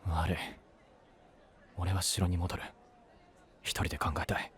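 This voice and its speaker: A man's voice: low voice, little pitch movement, measured pace, a bit bright. A man voice-acting an earnest, awkward young knight in an audio drama, blunt and casual, often muttering to himself. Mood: tense, withdrawn. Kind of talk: Japanese anime-style voice drama acting.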